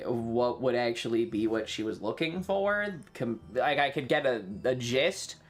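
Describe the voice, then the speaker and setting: A man chatting casually on a livestream, rambling and halting, swearing freely as he talks. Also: mid-range voice, expressive, faint music behind. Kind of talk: casual rambling stream chat.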